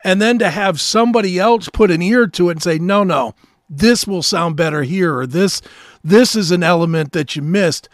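Higher-voiced American man hosting, dry sound. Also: moderate pace, dry recording. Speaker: radio show host interviewing a band. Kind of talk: conversational interview.

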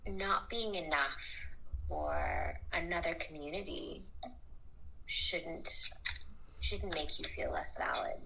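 Woman, low-pitched, gravelly, soft and hesitant, casual conversation. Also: huge pitch swings, slow, low-quality recording. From United States. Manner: soft, hesitant, trails off into whispers.

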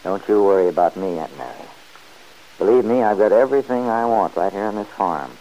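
A man's voice: lowish voice, lively intonation, brisk, dark tone, lo-fi sound, close to an everyday voice. Man playing a kind, folksy farmhand. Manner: folksy, hesitant. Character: loyal farmhand friend, kind and plain-spoken. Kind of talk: 1940s radio acting.

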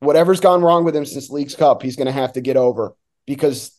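A man's voice: mid-range voice, fast talker, a little mellow. An American man from New Jersey giving casual sports analysis as a guest, often restarting words as he thinks aloud. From New Jersey, United States. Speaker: radio play-by-play announcer, guest.

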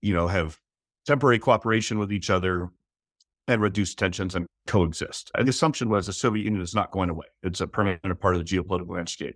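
Low-voiced man explaining history. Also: a bit bright, dry recording, studio quality. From United States. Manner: measured, with frequent restarts and fillers. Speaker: historian guest. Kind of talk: interview answers.

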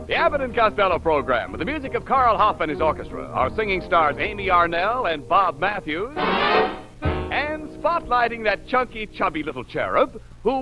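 A male announcer in 1940s radio style, high-pitched and very animated. The delivery is showy and scripted. The recording is dull and lo-fi, with music behind. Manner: showy, theatrical build-up.

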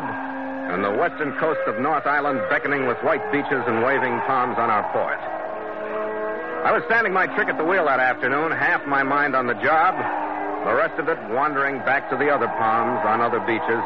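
Gruff, wry American sea captain, played by a man, old radio. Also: medium pitch, very noisy, lightly acted. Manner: clipped, dry, wry. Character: gruff, wry American merchant ship captain, narrating his log. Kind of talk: 1940s radio acting, hard-boiled first-person narration. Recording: dull old radio recording, loud music.

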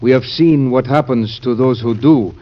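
An actor playing a grave, earnest visitor in an old-time radio drama, formal and polite. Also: male voice, mid-range voice, normal speed, lightly acted. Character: earnest, secretive Spanish gentleman, grave and pleading visitor. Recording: muffled vintage radio recording.

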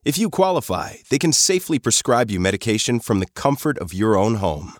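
Lively low male ad announcer, dry sound. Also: a little fast, dry recording. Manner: persuasive, friendly sales pitch. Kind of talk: read advertisement.

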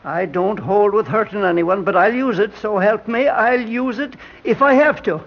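An actor playing a stubborn, eccentric old elevator operator who stammers and mutters in singsong, in a classic radio drama. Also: male voice, high voice, moderate pace, creaky. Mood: defiant. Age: older. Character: crazy old elevator operator, stubborn, fond of his lift. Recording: dull, noisy old radio recording.

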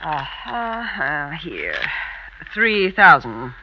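An actress playing a brisk, tight-fisted middle-aged French widow and mother in an old radio drama. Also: deep voice, sing-song, exaggerated voice. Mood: businesslike. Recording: dull vintage radio recording with background noise.

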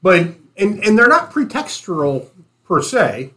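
Argumentative man, voice a bit high. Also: big pitch swings, slow, bright, forceful tone. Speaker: panelist. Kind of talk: explanatory casual discussion.

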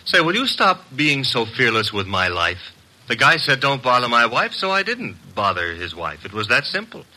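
An actor playing a cocky, wisecracking detective's assistant in 1950s radio style, wry, slangy and needling. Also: male voice, mid-range voice, sing-song, moderate pace, sharp tone, full character voice, rough recording. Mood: mock indignant.

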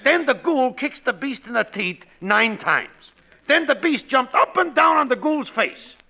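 An American actor playing a brash, scheming, wisecracking working-class neighbor in a 1940s radio sitcom, pushy and slangy. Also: male voice, extremely high pitch, expressive, unhurried, heavily acted. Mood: hammy salesmanship. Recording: dull vintage radio broadcast sound.

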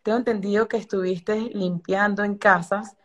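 A woman's voice: medium pitch, steady tone, normal speed, a bit bright. A woman interviewing a guest, with frequent agreeing interjections. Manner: affirming, supportive interjections. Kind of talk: conversational interview.

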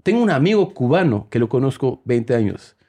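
A man's voice: mid-range voice, a little fast. Joking man with a mixed Spanish accent. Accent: mixed Peruvian, Spanish and Mexican-American Spanish. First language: English. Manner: joking, animated storytelling. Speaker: podcast host. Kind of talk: casual chat.

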